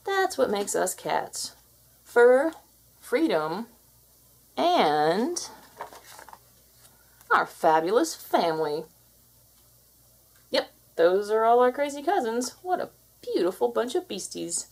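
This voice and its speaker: A woman's voice: on the high side, very animated, slow pace, long pauses, pitched above the performer's own voice, heavily acted. A woman voicing a confident, bossy alpha cat who lectures kittens, in a theatrical storybook read-aloud style. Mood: proud.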